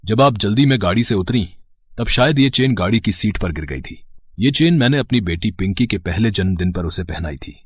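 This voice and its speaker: A man voicing a worried, wealthy father in an animated story, speaking earnestly and reassuringly with a husky voice. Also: fairly low pitch, brisk, no room sound.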